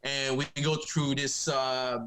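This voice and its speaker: A young man from Quebec with a French-Canadian accent, speaking English as a second language, chatting haltingly in a remote podcast interview. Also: medium pitch, little pitch movement, bright, forceful tone. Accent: Québécois French. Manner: halting, many fillers and restarts. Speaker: guest comic writer. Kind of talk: casual interview chat.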